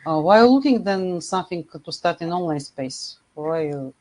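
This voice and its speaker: A woman with a Bulgarian accent hosts over a video call, her voice sitting on the lower side. She asks questions in a friendly way, with slightly halting phrasing and the odd filler. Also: lively intonation, dark tone. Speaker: host. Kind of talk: casual video-call interview.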